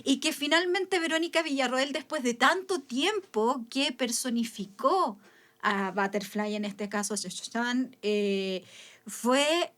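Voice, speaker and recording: A woman's voice: high-pitched, lively intonation, measured pace, a bit bright. A Chilean woman co-hosting a podcast, chatting casually and speaking with enthusiastic emphasis. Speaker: podcast co-host. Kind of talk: casual chat.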